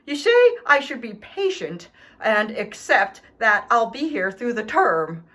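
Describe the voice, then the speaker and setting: An indignant, self-pitying dog , voiced by a woman, pleads his case in a children's storybook. The pitch is high and swings widely, and the delivery is slow and theatrical, leaning hard on every grievance. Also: very animated, a bit bright, heavily acted. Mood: aggrieved, pleading. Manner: theatrical, aggrieved, emphatic. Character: indignant, self-pitying, melodramatic male dog writing letters home. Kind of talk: expressive children's storybook read-aloud.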